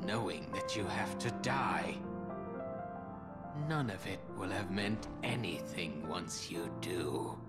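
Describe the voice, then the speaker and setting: An actor voicing a mad, nihilistic clown villain in a video game, theatrical and mocking, swinging from singsong to shrieks. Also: male voice, low-pitched, lively intonation, slow. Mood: bitter, ominous. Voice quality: creaky, cackling. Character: manic nihilistic male mad clown villain obsessed with destruction. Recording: loud music under the voice.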